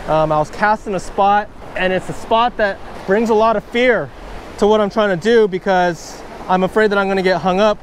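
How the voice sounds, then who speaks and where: High-voiced man fishing in the surf. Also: normal speed. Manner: excited, bursts of stoked exclamations. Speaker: fishing vlogger. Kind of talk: casual how-to commentary while fishing. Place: outdoors on an ocean beach, wading in the surf. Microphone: wearable action camera, first-person view. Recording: faint ocean surf noise.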